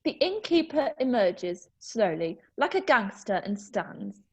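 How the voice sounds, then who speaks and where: A woman's voice: medium pitch, big pitch swings, unhurried, a little mellow, husky, dull audio. A woman reading stage directions aloud in a plain, matter-of-fact way.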